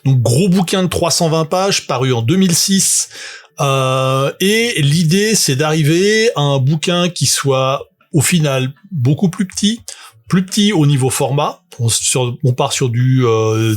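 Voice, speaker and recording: A man's voice: on the high side, slow pace. A man, rambling through a casual livestream full of fillers and self-mocking asides. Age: 40s. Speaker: tabletop game author and streamer.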